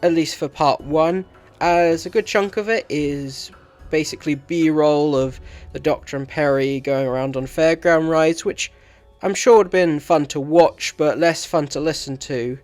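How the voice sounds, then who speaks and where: Male video reviewer, slightly high voice, scripted commentary, faint music behind. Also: unhurried, a little mellow.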